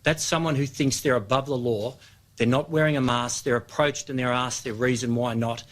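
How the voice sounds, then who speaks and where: An Australian man gives a formal press briefing as a police official. His voice is mid-pitched and his account is matter-of-fact. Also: steady tone, normal speed.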